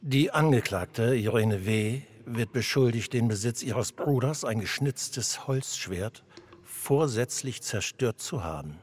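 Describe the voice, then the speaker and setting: An actor playing a stern, solemn judge in a radio play, speaking in a formal, measured, admonishing manner. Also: male voice, mid-range voice, lively intonation, darkish tone, husky. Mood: formal, accusing. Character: stern, solemn male judge in an imagined childhood trial.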